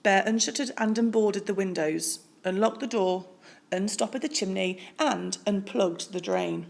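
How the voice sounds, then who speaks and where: A woman reading a children's storybook aloud in expressive narration with deliberate, dramatic phrasing. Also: medium pitch, gravelly. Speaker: storybook reader.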